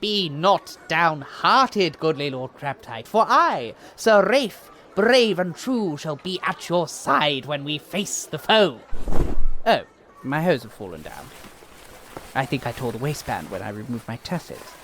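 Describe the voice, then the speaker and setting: An actor playing an earnest, bumbling young knight in an audio comedy, declaiming bombastically. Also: male voice, a little high, big pitch swings, a little slow, fairly bright tone, slight background noise. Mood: grandly earnest. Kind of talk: audio comedy drama acting.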